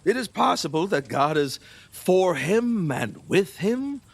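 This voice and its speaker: An actor playing a lustful, fearful, superstitious middle-aged king in an audio play, husky, wheedling and erratic, with nervous laughs and halting repeats. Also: high-pitched, big pitch swings, full character voice. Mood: fearful, agitated. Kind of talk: theatrical audio play acting.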